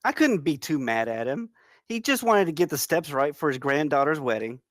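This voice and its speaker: A woman playing a warm, teasing woman on a date at a screenplay table read. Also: low-pitched, animated, moderate pace, no room sound, clean studio sound. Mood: teasing, affectionate.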